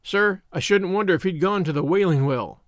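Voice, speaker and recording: A man's voice: high voice, brisk, dry recording, clean studio sound, natural voice. A man voicing an eager, respectful young schoolboy scout in a story, deferential and a little hesitant. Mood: anxious, respectful.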